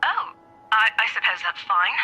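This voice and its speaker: An actress voicing a polite, accommodating office assistant with a courteous, businesslike manner in a video game. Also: female voice, medium pitch, sing-song, normal speed, pushed and bright, husky, faint music behind, full character voice, low-quality recording.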